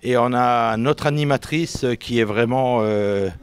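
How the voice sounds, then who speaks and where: A man's voice: mid-range voice, unhurried, clean tone. A man from Lorraine, a resident's son acting in the play, speaking hesitantly in a short interview. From Lorraine, France. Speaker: volunteer actor and relative of a resident.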